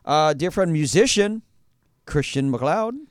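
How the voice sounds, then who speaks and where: A man's voice: fairly high pitch, expressive, takes it slowly, dry recording. A man hosting a radio show in a studio, chatting casually, full of fillers and restarts. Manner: rambling, many fillers and restarts.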